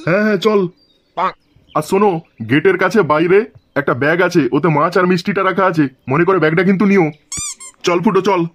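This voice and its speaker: A man's voice: sits high, a little fast, pauses often, fairly bright tone, highs cut off, a little hiss or hum. A man voicing a brash, stingy, flirtatious middle-aged husband and father in a comedy cartoon, full of crude sarcastic banter. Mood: pleased, hearty. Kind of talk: comic cartoon dialogue.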